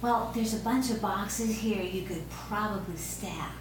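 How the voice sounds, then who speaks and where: A woman's voice: mid-range voice, measured pace, close to an everyday voice. A woman playing a sly, coaxing nine-year-old imaginary boy at an amateur script read. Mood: sly, teasing. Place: echoey room, recorded from a distance. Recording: very echoey room, distant mic, hiss.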